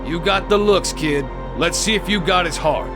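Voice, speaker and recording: An actor playing a gruff, demanding drill instructor with a barking, challenging delivery in a sci-fi drama. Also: male voice, high voice, moderate pace, over music. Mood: brusque, barking. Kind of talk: science fiction audio drama acting.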